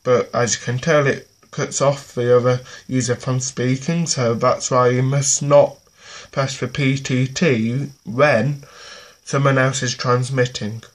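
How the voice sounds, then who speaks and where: A person giving an informal, unscripted how-to demonstration in a halting, repetitive way, often restarting sentences. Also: medium pitch, fairly even intonation. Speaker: presenter of a gadget how-to. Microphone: camera or tablet propped nearby. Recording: noisy amateur recording with clicks.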